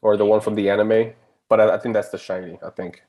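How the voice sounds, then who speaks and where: Lower-voiced man, chatty stream co-host, restarts sentences a lot. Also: little pitch movement, quick pace, highs cut off. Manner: chatty, stammers and restarts, reads chat questions. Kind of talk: casual live stream chat.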